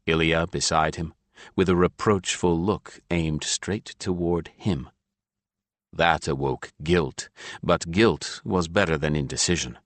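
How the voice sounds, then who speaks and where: A man's voice: low-pitched, slow pace, dry recording. A man reading a fantasy novel as a scripted audiobook narration.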